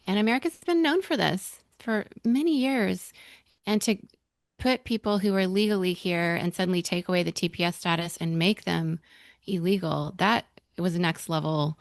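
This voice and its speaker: A woman's voice: on the low side, normal speed, no room sound, clean studio sound. A woman who grew up in Venezuela, a podcast guest talking earnestly in long run-on sentences in a casual roundtable.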